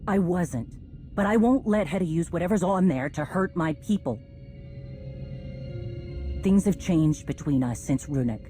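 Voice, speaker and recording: A woman's voice: lowish voice, unhurried, pauses often, warm tone, faint music behind, lightly acted. A woman playing a tough, stubborn warrior leader in a space-opera video game, speaking with blunt determination. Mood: defiant. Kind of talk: cinematic video game acting.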